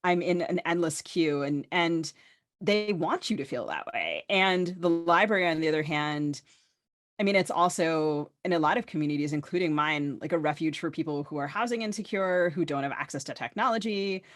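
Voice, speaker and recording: A woman's voice: low voice, moderate pace, no echo, clean studio sound. A woman hosting a podcast, chatting casually in a rambling, wry way as she tells stories and leads up to questions. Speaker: podcast host. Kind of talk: casual conversational storytelling and questioning.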